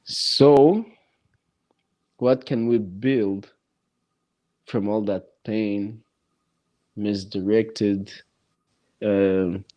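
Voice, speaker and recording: A man who speaks English as a non-native language, hosting a casual podcast chat and talking haltingly with many restarts. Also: fairly low pitch, steady tone, dark tone. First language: neither English nor French.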